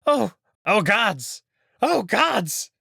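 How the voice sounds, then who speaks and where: An imperious wolf aristocrat from a visual novel, acted by a man, menacing and quick to disgust. He speaks slowly with sneering, swooping intonation, recorded dry. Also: high voice, big pitch swings, gravelly, bright, forceful tone, slightly rough, no echo, exaggerated voice. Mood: panicked, disgusted. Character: haughty male alien wolf noble, menacing, easily disgusted.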